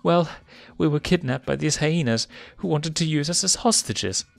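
A man's voice: fairly high pitch, moderate pace, creaky, faint music behind, pitched above the performer's own voice. A man voicing a young male lion cub, eager and boastful, in a raised voice. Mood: pleading. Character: cocky boyish lion cub prince.

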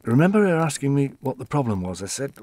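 An actor playing an elderly widower in an audio play, reminiscing wistfully about his wife in a halting, conversational, reflective way. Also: mid-range voice, very animated, darkish tone. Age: older.

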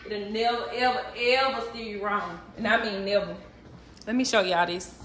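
A woman's voice: medium pitch, fairly even intonation, normal speed, a bit bright, very echoey, distant mic, slight background noise, poor audio. A woman, chatting candidly and animatedly to her viewers while cooking in her kitchen. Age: 30s. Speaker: vlogger. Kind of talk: casual chat while cooking. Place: home kitchen.

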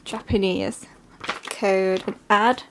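A woman from England with a stuffy, congested voice from a cold, chatting excitedly through an unboxing with lots of fillers. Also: medium pitch, takes it slowly, slight background noise, rough recording. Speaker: vlogger. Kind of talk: casual unboxing narration. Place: at home, at a table.

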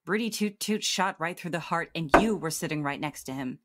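A woman's voice: on the low side, a little fast, fairly bright tone, a little hiss or hum, lightly acted. An actress playing a tough, guarded female police detective with a terse, wry manner in a radio drama. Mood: stern. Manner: terse, hard-boiled, wry.

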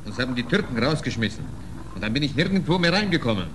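An actor playing a forlorn, resigned refugee sailor who speaks in short plaintive phrases, in 1940s radio drama style. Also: male voice, mid-range voice, sing-song, quick pace, close to an everyday voice. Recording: noisy 1940s radio recording.

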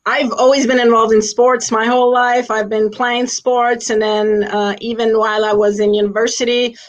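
A woman's voice: on the high side, level tone, normal speed. A middle-aged woman from Austria who grew up in Switzerland, talking casually and thoughtfully on a video call from a small room, with many fillers. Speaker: sports executive, alumna guest. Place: small indoor room with a plain wall. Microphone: laptop webcam on a video call. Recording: slightly dull video call audio.

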